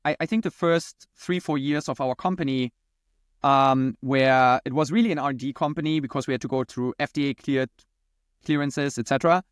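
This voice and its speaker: A man's voice: medium pitch, normal speed, smooth, no room sound, clean studio sound. A man from Germany with a German accent, answering conversationally as a founder interviewed on a podcast. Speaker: podcast guest, company founder and CEO.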